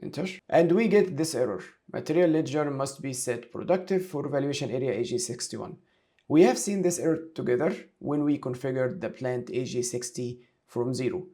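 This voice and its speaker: A man giving a step-by-step software tutorial, talking through each action aloud as an instructor. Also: mid-range voice. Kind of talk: screen-share tutorial, explaining step by step.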